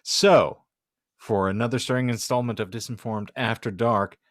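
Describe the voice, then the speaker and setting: A man's voice: mid-range voice, steady tone, moderate pace. A man hosting a comedy podcast, speaking in a wry, wordy, mock-grandiose way as he banters and signs off. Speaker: podcast host.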